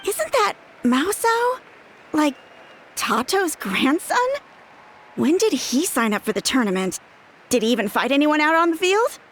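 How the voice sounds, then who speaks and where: Excitable young game girl: very high, drawn-out delivery, gushing and bubbly. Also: a little hiss or hum. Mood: puzzled. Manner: gushing, exclamatory. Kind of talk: animated video game voice acting.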